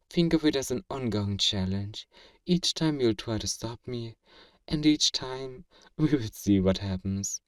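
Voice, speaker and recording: Man playing a slow-spoken, teasing fantasy villain. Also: lowish voice, dry recording, far from the mic. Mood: commanding, playful. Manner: theatrical, deliberate, formal, teasing, with breathy whispers. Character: powerful male fantasy villain, teasing, smug, obsessively flirtatious. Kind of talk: ASMR romance roleplay.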